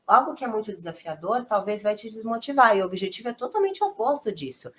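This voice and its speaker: A woman's voice: on the high side, very animated, quick pace, a bit bright, slightly rough. A woman with a Brazilian accent, an English teacher who explains step by step in an encouraging, casual way. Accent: Brazilian Portuguese.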